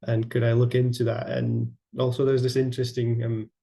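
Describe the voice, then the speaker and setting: A young man from Latvia presenting his architecture project, halting with many fillers and restarts. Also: mid-range voice, flat delivery, gravelly, mellow. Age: young adult. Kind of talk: online presentation.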